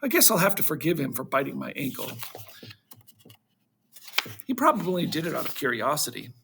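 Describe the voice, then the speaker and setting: A man's voice: fairly high pitch, big pitch swings, fairly quick, creaky, a bit bright, slight background noise, full character voice. A man voicing a practical mother who scolds but forgives, in a children's storybook reading. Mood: forgiving.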